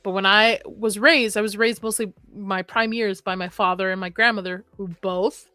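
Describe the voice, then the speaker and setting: A woman's voice: mid-range voice, steady tone, moderate pace, pushed and bright, dry recording, soft background music. A young adult woman hosting a horror livestream, chatting casually and reading comments, rambling and laughing often; her first language was Arabic.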